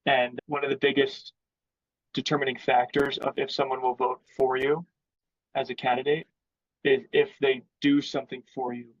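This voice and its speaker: A man's voice: mid-range voice, flat delivery, normal speed, breathy edge, no room sound, muffled recording. A man speaking as an interview guest in sales, explaining his thinking in a casual business conversation.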